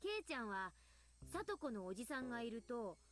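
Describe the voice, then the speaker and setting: A woman's voice: a little high, animated, racing, a bit bright. A woman voicing a cold, menacing teenage girl in a Japanese visual novel, creepy and pointed in manner. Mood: cold accusing.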